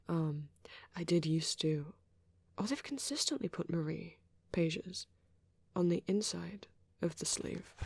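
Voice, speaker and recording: A soft, husky half-whisper with a creaky edge, belonging to a shy vampire boy played by a man in an ASMR roleplay. He speaks gently and haltingly in a narrow, even pitch, as if rambling to a date. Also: no room sound, full character voice. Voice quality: breathy, often slipping into whisper. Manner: hesitant, halting, gently spoken.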